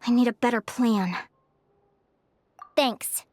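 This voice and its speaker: Upbeat young game heroine, high-pitched, sincere and thoughtful, with resolve. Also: female voice, slow pace, no echo. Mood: pensive, determined. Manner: earnest, friendly, thoughtful. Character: earnest, upbeat young woman competitor, determined and friendly. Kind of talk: video game voice acting.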